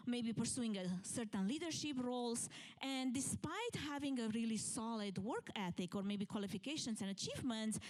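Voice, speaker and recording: A woman's voice: a little high, a little mellow. A middle-aged woman from Romania with a Romanian accent, giving a motivational conference talk in an earnest, conversational way. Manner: earnest, conversational, with fillers and restarts. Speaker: keynote speaker. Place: conference room with audience.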